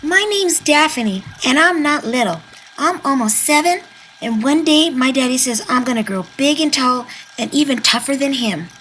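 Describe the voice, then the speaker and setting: A woman's voice: high-pitched, animated, moderate pace, fairly bright tone, a little hiss or hum, rough recording. A woman voicing a bold, earnest girl of almost seven in an amateur sci-fi radio drama. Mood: proud, defiant.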